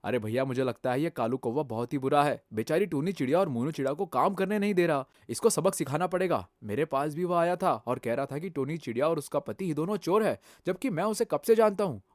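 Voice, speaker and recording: A fair-minded male pigeon, voiced by a woman for a cartoon, speaking fast and earnestly as he argues for a friend. The voice sits a little lower than her own and sounds dry and close. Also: lively intonation, darkish tone, no room sound. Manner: earnest, quick, persuading.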